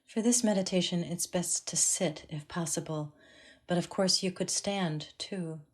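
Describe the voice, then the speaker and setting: Slow, low-voiced woman guiding meditation. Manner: calm, measured, with long pauses. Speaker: meditation teacher. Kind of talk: guided meditation.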